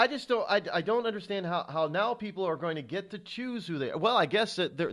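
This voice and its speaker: A man's voice: high voice, fairly bright tone. A middle-aged man hosting a talk radio show, delivering an indignant, rhetorical monologue with frequent stumbles and fillers.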